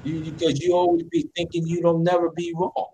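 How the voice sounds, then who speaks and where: A man hosting a casual late-night online chat on a call, teasing and animated, often repeating words in quick bursts. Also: on the high side, slight background noise, poor audio. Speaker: show host. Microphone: online call audio.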